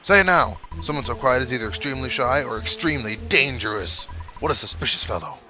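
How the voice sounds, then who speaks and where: A man's voice: medium pitch, animated, fast talker, sharp tone, soft background music. A man voicing a suspicious, insistent gatekeeper from a video game, demanding answers. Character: suspicious gatekeeper demanding a password from a delivery boy.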